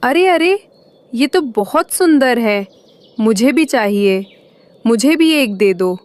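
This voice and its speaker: A woman's voice: a little high, lively intonation, slow pace, a little mellow, no echo. A woman voicing a young boy crow in a cartoon, curious and envious, with a childlike wheedling manner. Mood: envious begging. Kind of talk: Hindi moral cartoon voice acting.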